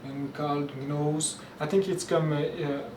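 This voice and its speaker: A man from Quebec, a native French speaker with a Québécois French accent, gives an informal spoken lecture with halting speech, many fillers and restarts. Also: a little high, steady tone, darkish tone, slight background noise. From Quebec, Canada. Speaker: spiritual teacher. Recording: roomy echo, mic at a distance, faint ambience.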